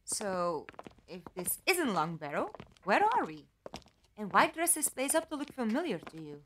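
A logical, dry-witted woman with lost memories played by an actress speaks in formal phrases with a lot of pitch movement. It is fan audio drama, with clear noise on the recording. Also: big pitch swings, normal speed, close to an everyday voice. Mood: sceptical. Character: composed, logical, dry-witted female amnesiac captive.

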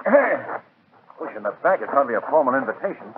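An actor playing a cool, wry gentleman adventurer in a 1940s radio drama, with a dry, calm manner. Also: medium pitch, fast, very noisy, natural voice. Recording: tinny 1940s radio recording.

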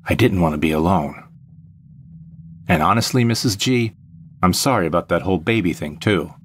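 A man's voice: deep voice, lively intonation, moderate pace, breathy edge. A man playing a brooding, vengeful jilted ex-husband, narrating an audio drama in the first person with melodramatic deliberation. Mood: remorseful.

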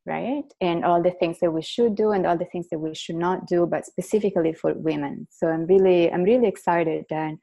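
Italian-accented woman, low mellow voice. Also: fairly quick, creaky, hardly any pauses. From Italy. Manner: earnest, animated hand gestures. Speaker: guest neuroscientist. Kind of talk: video call interview. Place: living room at home. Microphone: earbud headset on video call.

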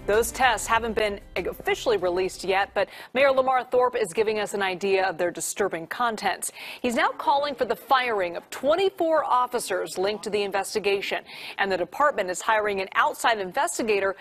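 A woman anchors a television newscast. She reads scripted copy in a medium-pitched voice from a studio. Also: lively intonation, brisk, a bit bright, faint music behind. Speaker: news anchor. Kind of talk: scripted news reading.

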